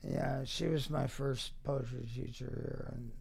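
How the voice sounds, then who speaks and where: A middle-aged American man chatting and reminiscing as an interview guest, speaking in halting fragments with a wry edge. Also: medium pitch, flat delivery, creaky, a little mellow, breathy edge. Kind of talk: casual reminiscing chat.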